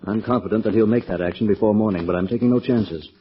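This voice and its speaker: A resolute gentleman detective in a vintage radio drama. He talks fast, with firm, protective confidence. The recording is dull and muffled. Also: fairly low pitch, husky. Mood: determined. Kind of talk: 1940s radio drama acting. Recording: muffled old radio broadcast.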